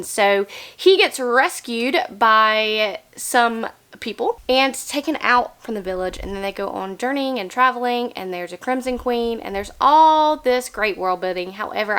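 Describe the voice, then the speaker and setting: A young woman talks through her month of reading in a candid, chatty way. Her voice sits a bit high, and a cold leaves her slightly nasal. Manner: chatty, candid, animated opener. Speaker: book vlogger. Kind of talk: casual chat about books.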